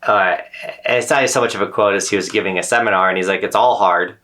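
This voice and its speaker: Male co-host, thirties, reflective and earnest, somewhat low voice. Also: fairly even intonation, quick pace, sharp tone. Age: 30s. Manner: earnest, reflective, loses his train of thought. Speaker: podcast co-host. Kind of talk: casual chat.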